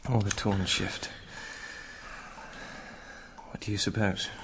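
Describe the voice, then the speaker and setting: A forthright British man of authority in a medieval radio drama. His voice sits a little low. He is brisk and questioning, over faint background noise. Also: flat delivery. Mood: grim. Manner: blunt, questioning. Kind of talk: BBC radio drama acting.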